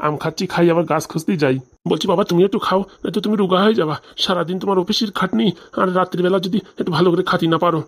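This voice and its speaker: A man plays a greedy, sentimental older village father-in-law for a cartoon. The voice is high for a man and races along very quickly, gushing and wheedling in a rural Bengali accent. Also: fairly even intonation. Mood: fawning, chatty. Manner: effusive, wheedling, rambling. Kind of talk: comedy cartoon dialogue.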